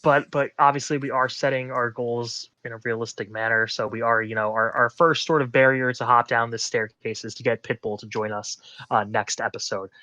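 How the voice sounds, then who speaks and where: A man's voice: medium pitch, steady tone, brisk, hardly any pauses, bright, forceful tone, dry recording. A man co-hosting a podcast, chatting casually in a wordy, mock-formal way about TV. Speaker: podcast co-host. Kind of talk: casual TV recap podcast chat.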